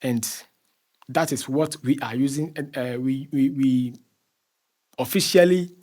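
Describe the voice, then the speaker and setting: A man's voice: mid-range voice, measured pace, a little mellow. A man from Ghana with a Ghanaian accent speaks as an interview guest, explaining conversationally and often repeating phrases as he searches for words.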